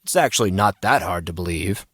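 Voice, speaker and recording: A man's voice: sits low, lively intonation, a little fast, a bit bright, slightly rough, no echo, studio quality, full character voice. A man voicing an aloof, scornful teenage know-it-all in an audiobook story, matter-of-fact and condescending.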